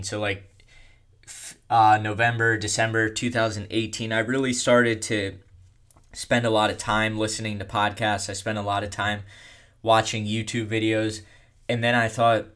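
A young man telling his own story in a casual, rambling way, with a monotone delivery and lots of fillers. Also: lowish voice. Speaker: content creator.

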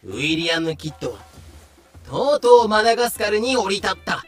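Male narrator for a scripted audio drama, crisp bright tone, somewhat high, over soft music. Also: very animated, fairly quick.

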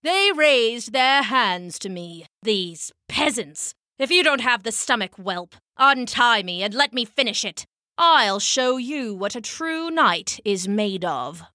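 A woman's voice: on the high side, expressive, slow, bright, forceful tone, dry recording, studio quality, exaggerated voice. Woman voicing an arrogant, spiteful, whining male knight. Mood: indignant, contemptuous. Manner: pompous, demanding, sneering. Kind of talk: storybook character acting.

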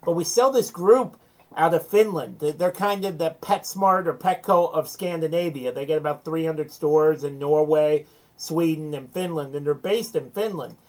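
A man's voice: fairly high pitch, moderate pace, rough recording. Chatty American man telling business anecdotes. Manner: chatty, anecdotal, self-deprecating. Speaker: interview guest, small business owner. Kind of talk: casual interview storytelling.

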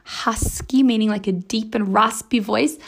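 Female podcast host and teacher: husky, strained, gravelly fry on a fairly high voice; chatty, encouraging delivery. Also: fairly even intonation, unhurried, slight background noise. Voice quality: husky, slightly raspy. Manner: encouraging, chatty, acts out imagined dialogue. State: voice strained, huskier than usual. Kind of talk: casual motivational podcast chat.

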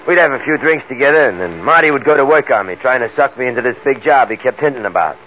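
A man's voice: medium pitch, lively intonation, quick pace, fairly bright tone, close to an everyday voice. Man acting streetwise ex-con on muffled old radio. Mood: weary storytelling. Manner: tough streetwise talk, slangy. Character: streetwise ex-convict, wary, boastful, recounting his crimes. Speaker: actor in a radio crime drama. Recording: muffled old radio recording, background hiss.

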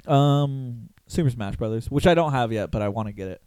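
A man in his thirties with a hoarse voice, hosting a podcast in casual, rambling chat and swearing freely. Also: medium pitch, fairly quick, a little mellow, no room sound. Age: 30s. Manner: rambling, swearing, laughs a lot. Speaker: podcast host.

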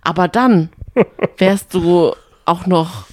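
A woman's voice: fairly low pitch, takes it slowly, darkish tone, no echo, studio quality. A woman co-hosting a podcast, chatting casually about reality TV. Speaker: podcast co-host. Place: hotel room.

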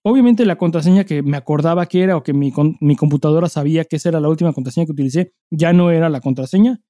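A Mexican man talks as a podcast host in a very soft-edged, dark timbre, pitched a bit high for a man. He speaks in casual, conversational stretches full of slang and curse words. The sound is close and dry. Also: rapid-fire, no echo. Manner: informal, heavy slang and swearing.

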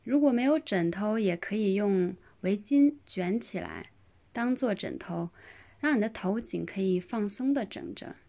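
A woman leading a guided relaxation meditation in a gentle, soothing manner, with pauses between short phrases. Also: mid-range voice, sing-song, creaky. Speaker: yoga nidra meditation guide.

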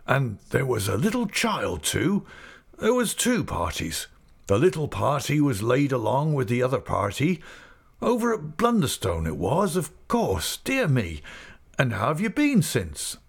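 A man's voice: medium pitch, wildly swooping pitch, unhurried, voice pushed up, exaggerated voice. A man reading a storybook, voicing a genial, short-winded old male shopkeeper in his later years. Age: older. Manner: chatty, breathy asides.

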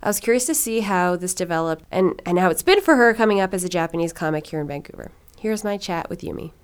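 A woman's voice: lowish voice, expressive, fast, clean tone. A young woman hosting a podcast, talking in a chatty way with lots of fillers, then interviewing a guest. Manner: chatty, filler-heavy with frequent "like". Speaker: podcast host. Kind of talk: casual monologue and interview.

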